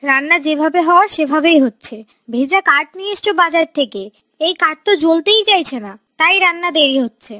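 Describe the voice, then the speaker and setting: A woman's voice: very high voice, lively intonation, a little fast, bright, forceful tone, no room sound, clean studio sound, heavily acted. A woman voicing a quarrelsome, jealous young village wife in a cartoon, snappy and defiant. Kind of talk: cartoon character dialogue.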